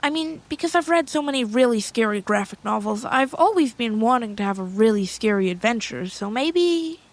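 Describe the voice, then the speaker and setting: Woman acting as young girl, high pitch, swooping intonation, chatty, eager, nervous, rambling, storybook adventurer. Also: lively intonation, moderate pace, close to an everyday voice. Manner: rambling, wide-eyed, talking to herself. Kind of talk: audio storybook character voice.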